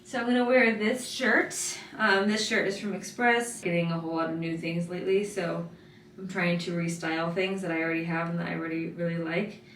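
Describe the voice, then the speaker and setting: A woman in her twenties, vlogging casually with a raspy morning voice and lots of filler words. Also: fairly low pitch, little pitch movement, fairly quick, a bit bright, a little hiss or hum. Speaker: lifestyle vlogger. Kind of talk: casual vlog chat to camera.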